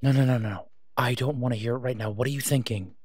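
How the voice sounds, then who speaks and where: A man playing an exasperated, protective vigilante in a phone-call audio roleplay, speaking urgently and emphatically, with pleading pauses. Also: mid-range voice, fairly even intonation, darkish tone, no room sound, lightly acted. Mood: exasperated, warning. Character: exasperated, protective masked male vigilante on patrol.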